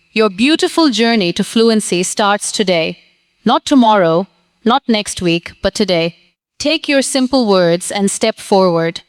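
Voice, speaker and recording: Woman teacher, earnest pep-talk delivery, fairly high, very clean voice, dry sound. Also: clear, resonant tone, dry recording. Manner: earnest, encouraging, short declarative sentences. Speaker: English teacher. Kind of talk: scripted motivational lesson.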